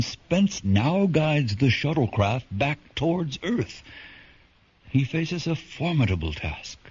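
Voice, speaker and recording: A man's voice: mid-range voice, a little mellow, slightly rough, highs cut off. A man narrating a science fiction radio drama in a portentous, suspenseful scripted style.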